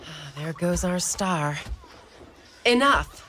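Woman playing a brisk, motherly mother superior. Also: on the low side, expressive, a bit bright, slight background noise. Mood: commanding. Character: elderly mother superior, woman, stern yet tender. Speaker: community radio play actor. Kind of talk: community radio play acting.

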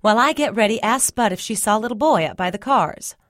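Woman acting sharp, exasperated country mother, raised pitch. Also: expressive, brisk, no room sound. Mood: anxious, insistent. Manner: scolding, pressing with questions. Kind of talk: audiobook character dialogue.

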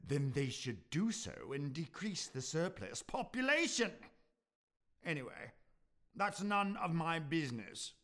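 A man acts out a grumbling old miser in a Victorian radio drama. He is scornful and curt, with a highish voice that leaps around indignantly. Each phrase is bitten off with a sneer. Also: big pitch swings, measured pace, fairly bright tone. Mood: contemptuous. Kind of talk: radio play acting.

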